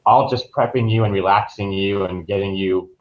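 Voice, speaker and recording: A man's voice: fairly low pitch, steady tone, moderate pace, muffled recording. A man speaking as a guest travel professional in a casual interview, explaining things informally.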